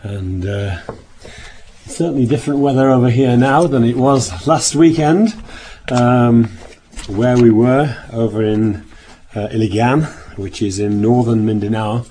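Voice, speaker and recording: A man giving a sermon in a meeting room, speaking hoarsely as he nearly loses his voice, rambling with many restarts. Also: mid-range voice, unhurried, warm tone, a little hiss or hum. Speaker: minister. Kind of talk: sermon opening with travel account.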